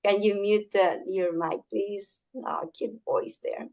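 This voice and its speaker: An older woman from Chile, whose first language is Spanish, gives a community workshop talk over an online call, speaking haltingly with many fillers and restarts. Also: mid-range voice, fairly even intonation, gravelly, a little mellow, lo-fi sound.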